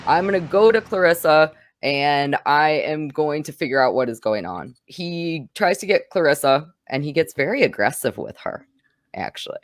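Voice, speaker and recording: A woman's voice: sits low, lively intonation, normal speed, no echo, clean studio sound. A woman hosting a podcast, chatting casually and recounting a film in detail. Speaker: podcast host. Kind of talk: casual film review chat.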